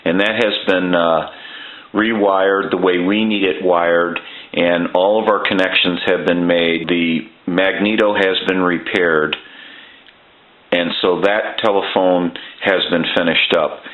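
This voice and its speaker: A man walking through a telephone repair in his workshop, explaining each step plainly and pausing often with "uh". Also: low-pitched, fairly even intonation, roomy sound, narrow, tinny audio.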